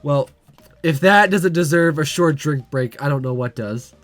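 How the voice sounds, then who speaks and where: A man's voice: on the high side, a little slow, no echo, soft background music. A man hosting a let's play, reading a visual novel aloud and breaking off for casual, joking commentary.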